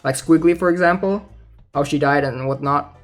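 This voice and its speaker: Higher-voiced young man, casual gaming commentary. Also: normal speed. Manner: informal, hesitant, thinking out loud. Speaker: gaming YouTuber. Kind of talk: casual game news commentary, partly reading aloud. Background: music.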